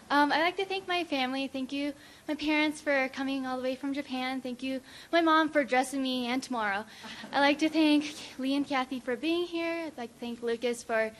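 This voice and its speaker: A woman's voice: high voice, fairly bright tone, a little hiss or hum. A young woman graduate giving a chatty, giggly thank-you speech at a ceremony. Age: young adult.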